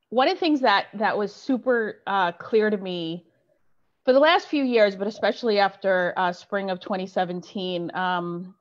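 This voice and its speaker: A woman's voice: medium pitch, dull audio. A woman clergy member speaking passionately and emphatically in a panel discussion.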